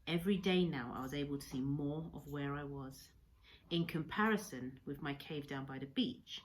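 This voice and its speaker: Low-pitched woman reading a story aloud. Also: slight background noise, poor audio. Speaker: primary school teacher reading a story to pupils. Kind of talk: read-aloud storybook narration.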